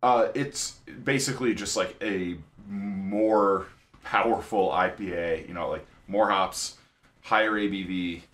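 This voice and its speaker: A man co-hosting a book podcast, steering the talk in a steady, matter-of-fact way. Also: low-pitched, measured pace. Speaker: podcast co-host. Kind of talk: casual chat, wrapping up the episode.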